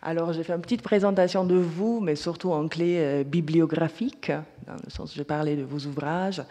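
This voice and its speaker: A woman's voice: low-pitched, steady tone, normal speed, mellow. A woman moderating a panel, stumbling and repeating words as she asks her question. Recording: reverberant lecture hall.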